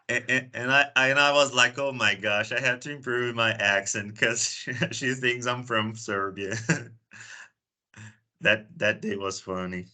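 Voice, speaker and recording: A man's voice: medium pitch, sharp tone. A Brazilian man hosting a video call, chatty and often repeating words as he starts a sentence. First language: Portuguese. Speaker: meeting host. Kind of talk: casual conversation on a video call.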